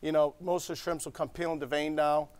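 A man's voice: on the high side, little pitch movement, fast talker, darkish tone, clear, resonant tone. A man in his forties, a restaurant chef, walking through a recipe step by step in a TV studio kitchen, easygoing and checking in with "okay?". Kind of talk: cooking demonstration.

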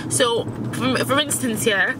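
A woman's voice: fairly high pitch, slow pace. A young adult woman talks candidly to camera in a slangy, rambling way, repeating phrases for emphasis. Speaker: vlogger. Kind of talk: candid casual talk to camera. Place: inside a car, in the driver's seat. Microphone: camera mounted near the dashboard. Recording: loud car and outdoor noise.

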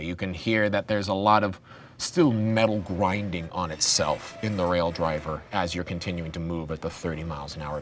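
A low-pitched man narrates a tabletop horror game as game master, his descriptions sinking to quiet murmurs. A steady noise sits clearly behind him. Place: studio set at a gaming table.

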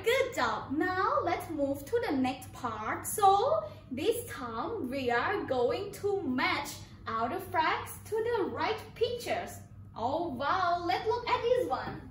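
Thai accented lady teaching little kids, super high swoopy singsong voice, roomy noisy recording. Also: very animated, slow. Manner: exaggerated, sing-song teacher talk with simple repeated phrases. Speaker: kindergarten English teacher. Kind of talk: online children's lesson. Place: small indoor room beside a presentation screen. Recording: roomy, distant mic, background noise.

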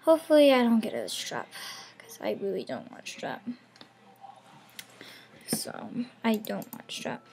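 A woman's voice: fairly high pitch, wildly swooping pitch, unhurried, pauses often, a little mellow. A young woman losing her voice, chatting casually over gameplay with rambling, half-whispered asides from a webcam at home. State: losing her voice, sore throat. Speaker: gaming channel host. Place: home room.

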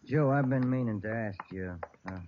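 A man's voice: medium pitch, normal speed, close to an everyday voice. A man with an American accent playing an easygoing, folksy police detective in an old-time radio drama. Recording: muffled, noisy vintage radio recording.

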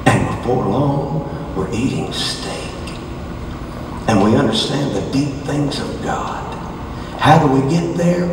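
An older man preaching a sermon, dropping to hushed low lines and rising to shouted high peaks as he moves around a large stage. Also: takes it slowly, background noise. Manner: moves about the stage gesturing while preaching. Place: large dark auditorium stage. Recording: echoey hall from far off.

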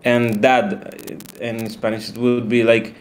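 A man taking part in a book club on a video call, speaking haltingly and repeating words as he makes a point. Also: medium pitch, flat delivery, slight background noise. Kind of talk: casual video-call discussion.

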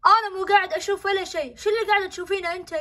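A woman playing a stern, worried father in a homemade family skit, quick and exasperated. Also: very high voice, steady tone, bright, forceful tone.

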